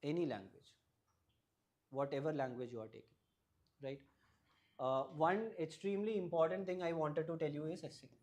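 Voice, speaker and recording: A man's voice: a little high, normal speed, long pauses, a little mellow. A young man whose first language is Malayalam, an exam topper explaining his study strategy in an interview and often checking in with "right?". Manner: advisory, often ends points with a questioning "right?". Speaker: exam topper giving advice.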